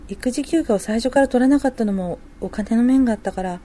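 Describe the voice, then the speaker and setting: A woman playing a working young mother and wife in a radio drama, talking softly and conversationally as she thinks aloud. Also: on the high side, brisk, lightly acted. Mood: concerned. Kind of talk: amateur radio drama dialogue.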